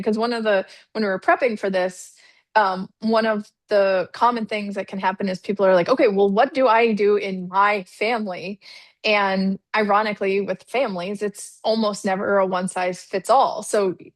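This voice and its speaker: A woman's voice: medium pitch, no room sound. Chatty woman hosting a webinar. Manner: chatty, laughs often, many fillers. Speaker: webinar host. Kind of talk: casual webinar moderating. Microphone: video call.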